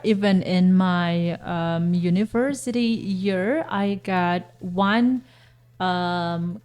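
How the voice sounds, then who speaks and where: A woman, speaking as a guest teacher on a radio talk show, pausing often to search for words. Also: mid-range voice, dark tone. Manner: hesitant, searching for words with repeated fillers.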